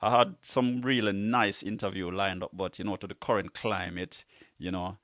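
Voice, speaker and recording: A man with a low voice hosts a music show in a laid-back, conversational style. His talk is casual and full of Caribbean-inflected slang, and the sound is close and dry. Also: fairly even intonation, moderate pace, fairly bright tone, no room sound. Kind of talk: casual radio-style chat between music tracks.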